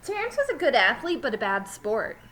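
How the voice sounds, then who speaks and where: Female teacher, expressive storybook reader, higher-pitched and bright, with some background noise. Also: moderate pace. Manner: animated, emphatic storytelling. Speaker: teacher reading aloud to a class. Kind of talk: expressive children's story read-aloud.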